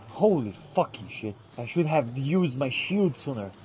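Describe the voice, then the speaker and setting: A man giving expressive, exclamatory in-character gameplay commentary, thinking aloud as he roleplays. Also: medium pitch, a little hiss or hum. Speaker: gamer roleplaying as a character in a playthrough.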